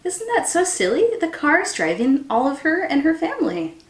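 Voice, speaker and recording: Very animated, high-voiced young woman reading aloud. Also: normal speed, roomy sound. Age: young adult. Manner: exaggerated wonder, sing-song emphasis. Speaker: teacher reading storybooks to children. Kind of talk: animated children's read-aloud. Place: small room indoors by a window.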